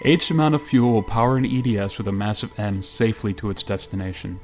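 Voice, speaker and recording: An actor playing a troubled, dutiful space pilot who narrates in a terse, grave way in a radio play. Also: male voice, fairly low pitch, quick pace, darkish tone, faint music behind, lightly acted. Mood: grave narrating. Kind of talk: dramatic radio play acting with first-person narration.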